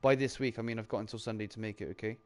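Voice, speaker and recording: A man's voice: lowish voice, fairly even intonation, very fast, a little mellow. A man hosting a casual chat, talking in a laid-back, rambling way full of fillers. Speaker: channel host on a live round-table stream.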